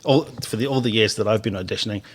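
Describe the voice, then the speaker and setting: A man's voice: lowish voice, quick pace. A man in his fifties hosting a radio podcast in a studio. He talks casually, laughs easily and often restarts his phrases. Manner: chatty, frequent laughs and restarts.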